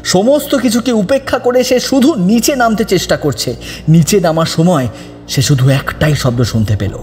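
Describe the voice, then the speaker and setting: Mellow male horror narrator with reverb. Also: sits high, normal speed. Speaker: audiobook narrator. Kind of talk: scripted horror story narration. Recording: long reverb over background music.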